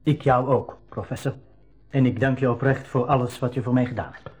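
An actor playing a serious, courteous rebel guide in a school radio drama, speaking in a measured, formal way. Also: male voice, medium pitch, fairly even intonation, a little mellow, soft background music, natural voice. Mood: heartfelt farewell. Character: serious, grateful male rebel guiding the travellers.

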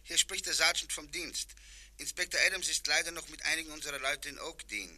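An actor playing a brisk, dutiful police desk sergeant with a formal, helpful manner in a German radio play. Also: male voice, a little high, steady tone, bright, forceful tone. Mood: formal, courteous.

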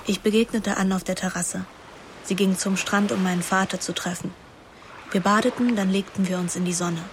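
A woman's voice: mid-range voice, steady tone, moderate pace, a little hiss or hum, close to an everyday voice. An actress playing a wilful, moody teenage girl who narrates her summer intimately in a radio drama. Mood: reflective. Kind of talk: first-person radio drama narration and dialogue. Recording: music behind the voice.

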